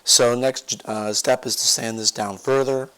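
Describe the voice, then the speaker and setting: A man's voice: mid-range voice, steady tone, a little slow, fairly bright tone, clean tone, low-quality recording. A man with a cold who is losing his voice, casually talking through a guitar refinishing project with lots of fillers and trailing asides. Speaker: guitar DIY vlogger. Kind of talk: casual workshop show-and-tell.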